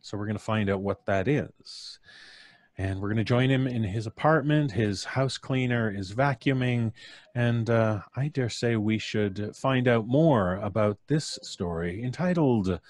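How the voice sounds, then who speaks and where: A man hosting a radio play, narrating in a measured, theatrical old-time style. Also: lowish voice, a little mellow, no room sound, studio quality. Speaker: host introducing a radio play.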